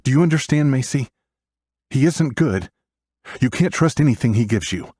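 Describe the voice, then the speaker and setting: A man's voice: on the low side, expressive, normal speed, a little mellow, slightly rough, no echo, pitched above the performer's own voice. A man voicing a scared thirteen-year-old boy, urgent and fiercely protective of his sister, in an audiobook. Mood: urgent, stern. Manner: urgent, emotional pleading.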